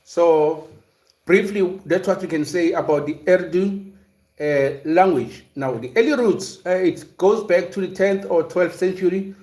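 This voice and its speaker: A man's voice: sits high, measured pace, a little mellow. A man from South Africa hosting a casual livestream, talking through a history topic and reading viewer comments, with lots of fillers and restarts. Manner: hesitant, many fillers and restarts. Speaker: livestream host. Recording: roomy echo.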